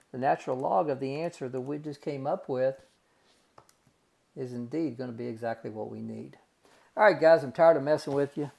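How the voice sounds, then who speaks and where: A man's voice: mid-range voice, gravelly, a little mellow. A man teaching a recorded math lesson, working through calculations step by step in a folksy way and calling his students guys and gang.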